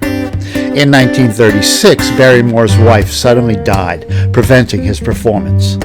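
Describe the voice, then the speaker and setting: An older man narrates a scripted documentary as its presenter. His voice sits at a middle pitch. Plucked guitar music plays clearly behind him. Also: sing-song, unhurried.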